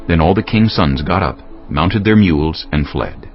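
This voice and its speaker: A man's voice: deep voice, lively intonation, normal speed. A man solemnly narrating a dramatized scripture story from a script. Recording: slightly dull audio with music bed.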